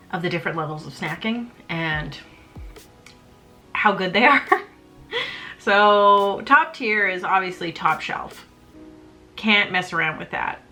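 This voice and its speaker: A woman's voice: fairly low pitch, sharp tone. A young woman, chatting in a casual home vlog about her snacks, bubbly and self-mocking, often laughing. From Canada. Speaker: vlogger.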